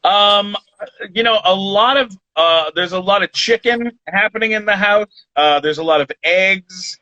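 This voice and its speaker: A man's voice: sits high, sharp tone, no room sound. Fortyish guy rambling with lots of restarts, dry close sound, kinda muffled. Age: 40s. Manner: rambling, halting storyteller with many restarts. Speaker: guest. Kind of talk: casual chat. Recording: dull lo-fi sound.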